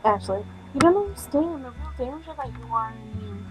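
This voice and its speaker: A woman's voice: on the high side, lively intonation, normal speed, over loud music, pitched above the performer's own voice, close to an everyday voice, poor audio. A woman voicing a proud, arrogant girl with powers from a visual novel, earnest and insistent. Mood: earnest, confessing.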